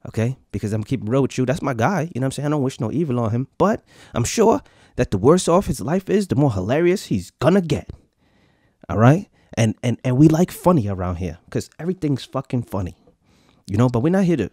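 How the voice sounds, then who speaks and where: A man's voice: mid-range voice, a little mellow, no room sound, clean studio sound. A man in his thirties hosting a podcast live, ranting casually to viewers with lots of swearing and comic repetition. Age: 30s. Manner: profane, swaggering, repeats lines for comic effect. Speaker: podcast host.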